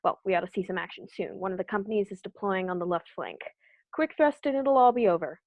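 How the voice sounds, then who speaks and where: A woman's voice: medium pitch, expressive, fast talker, dry recording, lightly acted. Young woman acting a military captain, slightly dull sound. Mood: smug, casual. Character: confident army captain reporting from the field. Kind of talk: radio drama live field report. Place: bedroom at home. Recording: dull, muffled home recording.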